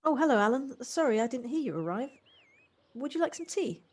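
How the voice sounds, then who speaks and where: Woman acting an effusive, coaxing, high-voiced lady. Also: very animated, fairly quick, husky, heavily acted. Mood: polite, earnest. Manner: effusive, eager, stammering with repeated words. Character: exuberant, coaxing, affectionate woman, proud of her job. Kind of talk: amateur audio drama acting. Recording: home recording on a personal device.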